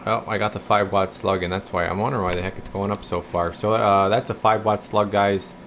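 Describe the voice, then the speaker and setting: A low-pitched man talks through repair work in a loose, folksy way. He thinks aloud and rambles informally, like a technician presenting his own video. A steady hum sits faintly behind his voice. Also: fairly quick. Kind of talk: casual rambling repair walkthrough. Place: radio repair workshop.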